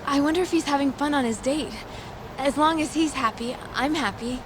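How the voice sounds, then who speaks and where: A woman's voice: sits high, fairly quick, background noise, lightly acted. A woman playing a sweet, devoted, worrying young woman in a video game dub. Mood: relieved, sweet.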